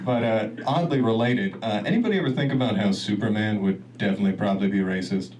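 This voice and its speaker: A man's voice: fairly low pitch, fast talker, a little mellow. A man doing a stand-up comedy set in a club, rambling and laughing at his own jokes. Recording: distant mic, noisy club room.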